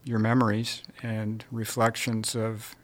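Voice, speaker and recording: A man hosting an interview, asking his guest relaxed, inviting questions. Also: on the low side, slow pace.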